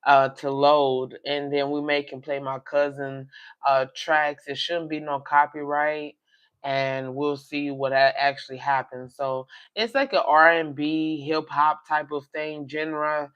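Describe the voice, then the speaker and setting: A slightly raspy man hosts a casual livestream, rambling on in a flat, even tone. He speaks straight to his viewers. The sound is dull and lo-fi. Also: a little high, slow. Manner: rambling, talks directly to viewers. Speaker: coin collecting livestream host.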